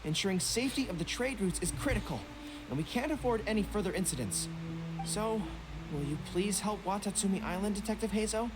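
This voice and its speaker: A man's voice: high-pitched, expressive, brisk, over loud music. A man voicing a young, courteous, dutiful general in a video game, speaking formally and seriously. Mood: earnest, businesslike.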